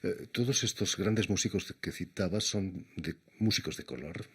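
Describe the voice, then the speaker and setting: A man from Barcelona, putting measured questions to a guest as an interviewer. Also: sits low, slightly rough. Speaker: radio interviewer.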